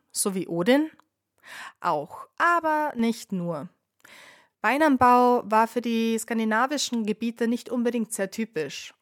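A woman's voice: on the high side, no room sound, studio quality. A woman hosting her own podcast, speaking with a cold, telling history in a playful, wry scripted narration with ironic asides. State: has a cold. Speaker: podcast host, bartender.

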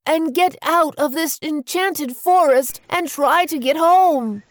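A woman's voice: sits high, takes it slowly, no echo, pitched above the performer's own voice. A woman voicing an earnest young boy in a storybook tale, in a childlike way. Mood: urgent.

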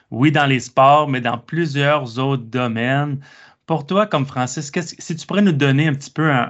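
A man's voice: medium pitch, fairly bright tone. A man hosting a university podcast, friendly and hesitant, with many fillers. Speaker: podcast host. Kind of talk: casual interview. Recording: dull lo-fi sound.

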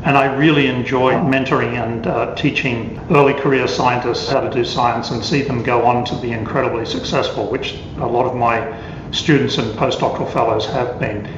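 A man's voice: medium pitch, fairly even intonation, normal speed. Older man, earnest scientist guest; roomy, muffled, noisy remote audio. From Australia. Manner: earnest, repeats words as he goes. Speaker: award-winning scientist guest. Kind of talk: remote interview conversation. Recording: echoey, dull, noisy remote line.

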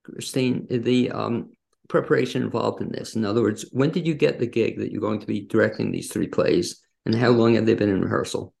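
A man's voice: fairly low pitch, brisk, creaky, darkish tone. A man hosting a casual interview, asking wordy, rambling questions in a conversational way. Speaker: interviewer, show host.

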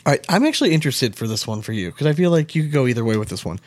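Male podcast co-host, mid pitch, casual and excitable, very dry sound. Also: very fast, no echo. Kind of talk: casual chat, posing questions.

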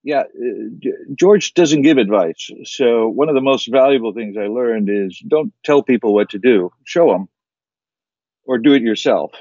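A middle-aged man with a General American accent, giving measured interview answers with frequent fillers and restarts. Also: mid-range voice, warm tone, no room sound, dull audio. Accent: General American, Chicago-like. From born in Kuwait, raised mostly in the United States. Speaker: investment firm founder, guest.

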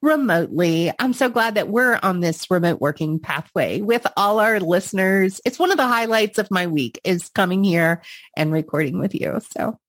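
A woman's voice: mid-range voice, expressive, normal speed, no room sound. A woman co-hosting a podcast, sounding slightly congested, talking in an appreciative, encouraging, conversational way. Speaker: podcast co-host.

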